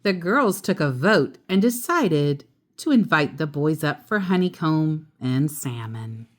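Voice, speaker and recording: A woman reading a children's picture book aloud in an expressive, animated way, acting out the characters. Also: low-pitched, a little slow. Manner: animated, acts out character voices.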